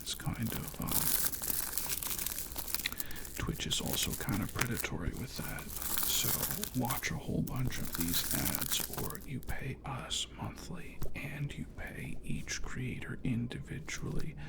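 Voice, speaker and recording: An ASMR streamer rambling candidly in a hushed, breathy whisper, as in a soft, unhurried chat with viewers. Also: medium pitch, lively intonation.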